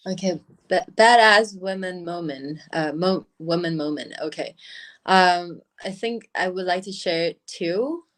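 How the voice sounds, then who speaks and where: A woman in her thirties, a native Mandarin speaker with a Mandarin-influenced English accent, talking haltingly with frequent restarts on a video call. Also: on the low side, fairly bright tone. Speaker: brand founder, guest. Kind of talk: casual video-call interview. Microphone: laptop webcam, video call.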